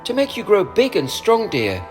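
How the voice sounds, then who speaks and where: A man's voice: sits high, wildly swooping pitch, normal speed, soft background music, voice pushed up. A motherly storybook kangaroo, played by a man, speaks in a raised, lilting voice. She fusses soothingly but stays firm and condescending, with reverb on the recording. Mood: brisk fussing. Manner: sweetly condescending, mock-thoughtful, firm scolding.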